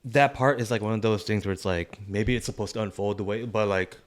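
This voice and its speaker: A man's voice: lowish voice, steady tone, a little fast. A man with an American accent chatting casually as a podcast co-host, in a slangy, informal way with free swearing. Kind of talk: casual conversational chat.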